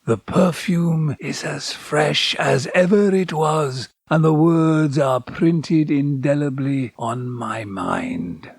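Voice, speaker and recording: Old man in audio drama, gravelly and dry close sound, wistful, theatrical, haunted by old loves. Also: on the high side, takes it slowly, hardly any pauses, dry recording, full character voice. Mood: wistful reminiscing. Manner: theatrical, brooding, self-reproaching.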